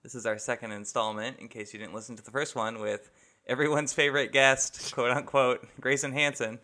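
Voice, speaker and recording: Laughing, flat-voiced podcast co-host man. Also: mid-range voice, fairly quick. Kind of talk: casual chat.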